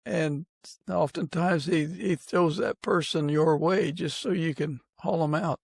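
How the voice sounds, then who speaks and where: Male podcast host, higher-set voice with heavy creak, halting and agreeable, casual conversational delivery. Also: no echo, clean studio sound. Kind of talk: casual interview chat.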